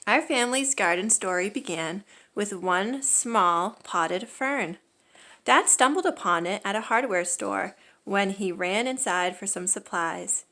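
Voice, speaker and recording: A woman reads a picture book aloud for children. Her voice sits at a medium pitch, and she delivers the story expressively, leaning into key words like a storyteller. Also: unhurried, sharp tone.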